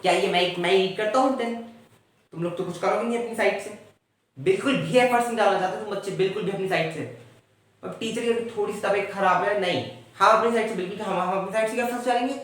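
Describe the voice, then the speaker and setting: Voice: medium pitch, quick pace, distant mic, close to an everyday voice. A performer playing a tired male schoolteacher who rants in exasperated Hindi-English at his class. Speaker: teacher in a classroom skit. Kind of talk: comedy sketch acting. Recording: echoey room, voice off-mic.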